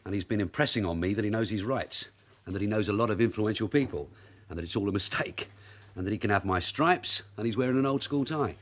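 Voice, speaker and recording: A man acting in a radio drama as a shrewd veteran police sergeant with a London accent and a dry, brisk manner. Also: on the low side, lightly acted. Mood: dry, casual.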